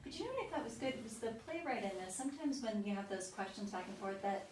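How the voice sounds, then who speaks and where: A woman's voice: fairly high pitch, expressive, darkish tone. Analytical woman, distant and echoey. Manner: analytical, quick agreement. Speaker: audience member in discussion. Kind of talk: casual group discussion. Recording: roomy, distant, faint noise.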